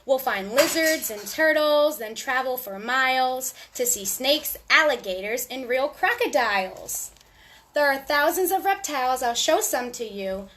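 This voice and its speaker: A woman with a high voice reads a picture book aloud to young children. Her delivery is slow and sing-song, and she pauses for small asides to the kids listening. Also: expressive, a bit bright, slight background noise. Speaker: children's storytime host.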